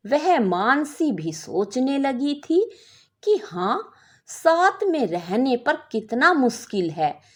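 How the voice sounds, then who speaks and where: A woman narrating a scripted story expressively, with measured dramatic delivery. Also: medium pitch.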